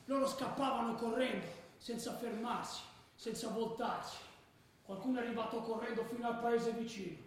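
A man's voice: extremely high pitch, normal speed. A male actor playing a combative football ultra, recounting fights urgently and emphatically in a radio drama. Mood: defiant. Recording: echoey, distant, noisy room.

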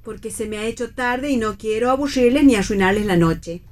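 A woman's voice: fairly high pitch, animated, fairly quick, darkish tone, lightly acted. An actress playing a polite, naive middle-aged mother, in an earnest, formal manner for radio theatre. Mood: resigned, earnest.

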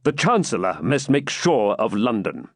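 A man acting a bold, lively storybook warrior king. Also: medium pitch, measured pace, no room sound, studio quality. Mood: earnest, commanding. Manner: grand, commanding, archaic speech. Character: bold, proud, hearty warrior king in hiding. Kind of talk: dramatic storybook reading.

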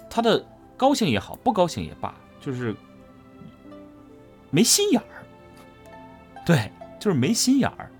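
Male interviewee, voice actor. Animated, emphatic, explanatory, conversational, with faint music underneath. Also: high voice, a little slow, pauses often. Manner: emphatic, repeats phrases for stress. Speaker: voice actor interviewed about his character. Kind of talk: casual explanatory interview answer.

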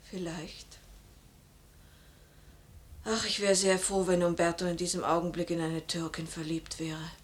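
Actress as worried skeptical woman, radio play, somewhat low voice, grave, restrained longing. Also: little pitch movement, unhurried, long pauses, natural voice. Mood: wistful.

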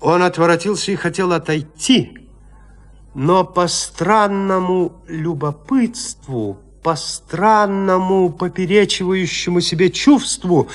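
A man narrating a literary audio drama with measured, suspenseful, theatrical phrasing. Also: sits high.

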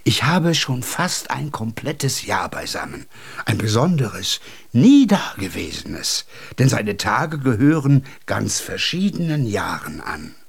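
An actor playing a whimsical, kindly junk collector in a German radio play, musing and animated with a raspy voice. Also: male voice, mid-range voice, takes it slowly, exaggerated voice. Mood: eager, proud.

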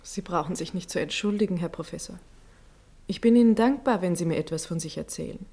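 A woman playing a shy, gentle nurse in a radio play, speaking softly and hesitantly. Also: on the low side, fairly quick, creaky, slightly rough. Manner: soft, hesitant, often hushed. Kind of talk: 1950s radio play acting.

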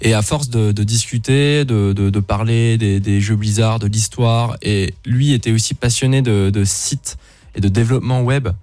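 A man's voice: lowish voice, steady tone, moderate pace, darkish tone, no echo. A man from Luxembourg speaking casually and informally, with slang, as a guest in an interview. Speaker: interview guest, online video creator.

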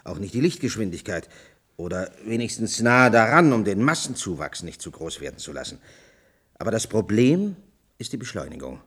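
An actor playing a cautious, pedantic scientist in a radio play, explaining deliberately in with some hesitation. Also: male voice, fairly low pitch, very animated, fairly bright tone, slightly rough, full character voice. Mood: earnest, insistent. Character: worried, pedantic male scientist, cautious and precise. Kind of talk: German radio play acting.

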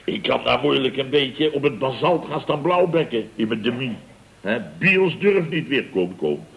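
Pompous, animated contractor boss. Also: male voice, mid-range voice, normal speed, creaky. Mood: indignant, boastful. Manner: pompous, rambling, chuckling raconteur with exclamations. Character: blustering, self-important building contractor boss, comically indignant. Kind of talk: 1960s-70s Dutch radio comedy acting. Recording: dull vintage radio recording.

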